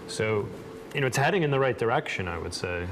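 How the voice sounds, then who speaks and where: A man from the United States, a guest on a talk show, speaking about film in a relaxed, hesitant way with many fillers. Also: medium pitch, fast, noisy background. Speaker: guest, film festival program director. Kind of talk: casual interview talk.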